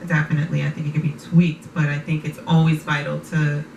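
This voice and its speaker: A woman's voice: low-pitched, completely flat delivery, fast, creaky, a little mellow. A woman hosting a casual talk show, often affirming and repeating her guest's words back. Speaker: podcast host. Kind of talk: casual conversation. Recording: echoey room, distant mic, noisy.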